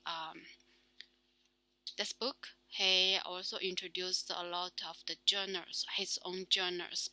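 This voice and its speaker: Slow, hesitant woman, Chinese accent. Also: fairly low pitch, sharp tone, no room sound, low-quality recording. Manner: halting, many fillers and restarts. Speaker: solo talk show host. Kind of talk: casual spoken practice monologue.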